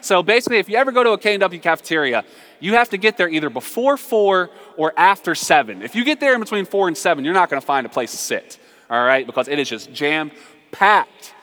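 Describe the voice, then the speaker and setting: Animated American preacher man in a reverberant hall. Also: high voice, brisk. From southern United States. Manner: animated, emphatic, repeats phrases for effect. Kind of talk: conversational, humorous storytelling sermon. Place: large reverberant room, live before a congregation.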